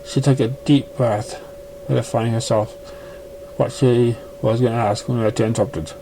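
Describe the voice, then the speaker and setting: A man narrating a story in a halting way, stumbling over words now and then. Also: mid-range voice, fairly even intonation, vocal fry, mellow, slight background noise, poor audio. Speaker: amateur fan fiction reader.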